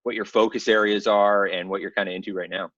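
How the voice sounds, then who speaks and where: A man's voice: on the low side, fairly even intonation, quick pace, fairly bright tone, no room sound, muffled recording. A man hosting an interview podcast in an upbeat, conversational style.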